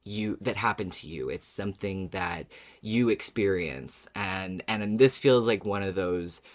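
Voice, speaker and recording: A podcast co-host in casual conversation, speaking thoughtfully and haltingly, building ideas piece by piece. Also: sits low.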